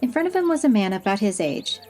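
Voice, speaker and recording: A woman narrating a fantasy audio story in an expressive, even-paced reading, over soft music. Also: mid-range voice, quick pace.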